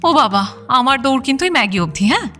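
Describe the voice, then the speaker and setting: Radio drama heroine: teasing young woman, high, bright, strong-willed, light music behind. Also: fast talker, heavily acted. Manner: playful, expressive.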